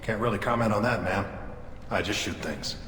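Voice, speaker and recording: A man voicing a gruff, loyal, plain-spoken alien soldier in a video game, with terse military phrasing. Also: lowish voice, normal speed, a bit bright, close to an everyday voice. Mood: matter-of-fact. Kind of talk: video game dialogue acting. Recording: echoey reverberant hall, music behind.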